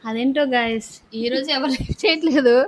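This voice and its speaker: A woman's voice: sits high, a little fast. A young woman, chatting casually as the host of a prank video. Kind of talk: casual chat to camera and partner.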